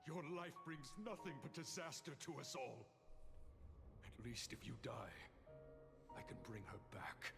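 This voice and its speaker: Cruel bitter game character, gravelly kinda higher male voice, slow n menacing, music blaring behind. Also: lively intonation. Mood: cold, hateful. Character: bitter, cruel man condemning a cursed child. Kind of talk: dramatic video game voice acting. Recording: game audio under loud music.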